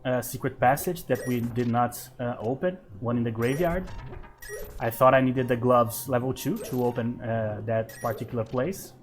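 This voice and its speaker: A man's voice: mid-range voice, moderate pace. Brazilian man casually narrating gameplay. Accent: Brazilian Portuguese. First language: Portuguese. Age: 30s. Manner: relaxed, thinking aloud with many fillers. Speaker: gaming channel host. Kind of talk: casual let's play commentary.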